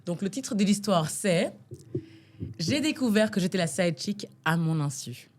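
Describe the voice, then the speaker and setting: A woman's voice: mid-range voice, measured pace, a little hiss or hum. A young woman co-hosting a casual podcast, chatting with a lot of laughter and reading a story aloud. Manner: lively, laughs often, animated. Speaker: podcast co-host.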